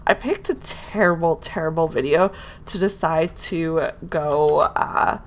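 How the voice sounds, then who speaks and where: A woman's voice: low voice, a little slow, a little hiss or hum. A woman with a nasal, congested voice from a cold, chatting casually as she builds in a game and talks to herself. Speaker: Sims building video creator. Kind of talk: casual real-time build commentary.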